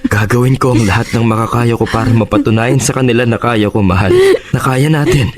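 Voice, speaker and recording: An actor in a Tagalog radio drama playing a protective, earnest young husband with a tender manner. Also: male voice, mid-range voice, steady tone, brisk, soft background music, close to an everyday voice. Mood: tender reassurance. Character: devoted, protective young husband, apologetic and reassuring.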